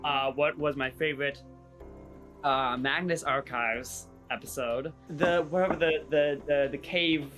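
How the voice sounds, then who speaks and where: A man chatting casually in a Q&A, hesitant and halting, often repeating his words. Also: fairly high pitch, fairly even intonation, fairly bright tone. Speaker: cast member. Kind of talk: casual Q&A chat. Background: background music.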